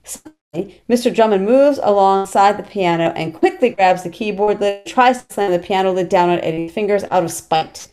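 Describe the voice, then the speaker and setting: A woman's voice: mid-range voice, normal speed, hardly any pauses, dark tone. A middle-aged woman reading stage directions as scripted narration over a video call. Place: small plain room at home, on video call.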